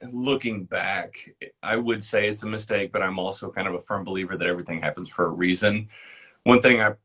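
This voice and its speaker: A middle-aged man appears as a guest life coach. His voice is a bit low and husky, and he speaks earnestly and at a measured pace, sometimes doubling a word as he restarts a thought. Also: bright, forceful tone. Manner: earnest, measured, repeats words when restarting. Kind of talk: reflective interview talk.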